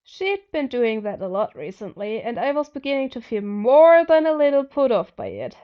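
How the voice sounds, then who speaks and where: A woman's voice: on the high side, big pitch swings, moderate pace, dull audio. A woman narrating first-person fiction expressively as an amateur audiobook reader.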